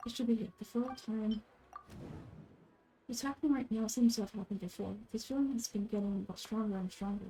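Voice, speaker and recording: Casting: woman to play a young game adventurer, serious and reflective, soft mellow tone, lower and subdued. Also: fairly even intonation, brisk, a little hiss or hum, close to an everyday voice, poor audio. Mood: pensive. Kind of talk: video game character dubbing.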